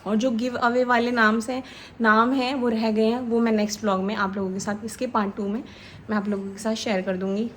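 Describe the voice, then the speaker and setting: Hoarse woman, 30s, chatty family vlogger, higher voice, slight background noise. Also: little pitch movement, a little fast. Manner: chatty, talks to viewers directly. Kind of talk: casual family vlog.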